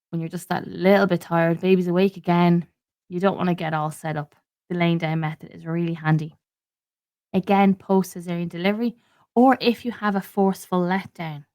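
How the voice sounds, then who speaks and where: An Irish woman in her thirties hosts a solo podcast. Her voice sits a little low and sounds stuffy from a cold and a sore throat. She explains things earnestly, restarting sentences and dropping the odd "um". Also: a little mellow. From Ireland. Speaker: podcast host. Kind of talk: informative solo podcast talk.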